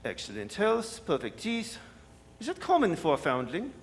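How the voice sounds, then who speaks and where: A man's voice: sits high, wildly swooping pitch, normal speed, exaggerated voice. A man playing a suave, scheming French villain with a French accent, courtly, wordy and sardonic, in a radio drama. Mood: gloating. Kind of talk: staged radio drama performance. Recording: live hall, long reverb, noisy.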